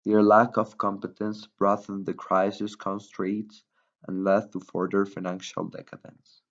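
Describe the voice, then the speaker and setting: A slightly dull, lo-fi recording of a man reporting for a newsreel. His voice sits fairly low, and he reads a formal, wordy news script. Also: little pitch movement, a little slow.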